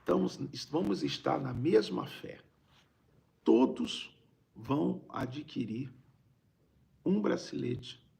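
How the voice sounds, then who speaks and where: A Brazilian man with a raspy, gravelly voice, a preacher talking conversationally on a live stream and repeating phrases for emphasis. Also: fairly high pitch, animated, takes it slowly, long pauses, darkish tone. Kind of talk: informal livestream preaching, reading viewer greetings.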